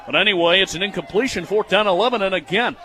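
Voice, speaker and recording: High, bright-voiced young American play-by-play man. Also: fast talker, some chatter behind. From United States, Michigan. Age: 20s. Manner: excitable, raising his voice on plays. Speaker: radio play-by-play announcer. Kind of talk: live American football radio commentary.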